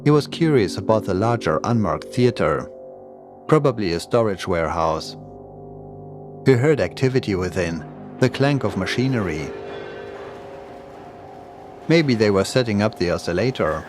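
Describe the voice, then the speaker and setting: Male horror narrator, lower-pitched, scripted storytelling delivery, clear music bed underneath. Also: lively intonation, normal speed, long pauses. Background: creepy music and sound effects.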